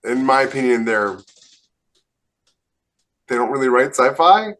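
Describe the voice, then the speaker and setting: A man's voice: medium pitch, expressive, a little slow, fairly bright tone. A man co-hosting a podcast, chatting casually in an earnest, emphatic conversational way. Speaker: podcast co-host and author.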